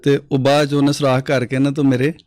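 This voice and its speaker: A young man tells homespun moral tales at a brisk clip, slipping into the voices of the people in his stories. The sound is dry and close, with no room around it. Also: mid-range voice, little pitch movement, a little mellow, no room sound. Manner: folksy, acts out quoted voices in his tales. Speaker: storyteller guest. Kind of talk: casual storytelling chat.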